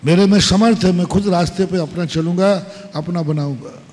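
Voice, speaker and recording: A man preaching a sermon as a monk, slow and emphatic, posing rhetorical questions and repeating short maxims. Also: high-pitched, a little mellow. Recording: long hall reverb.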